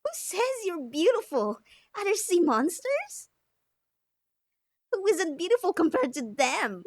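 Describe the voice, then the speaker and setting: A woman's voice: extremely high pitch, sing-song, dry recording, studio quality, exaggerated voice. A woman voicing a haughty, cruel mermaid with a sneering, condescending manner for a visual novel dub. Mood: haughty mockery. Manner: sneering, condescending, drawn-out taunting. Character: haughty, cruel mocking mermaid who prides herself on beauty.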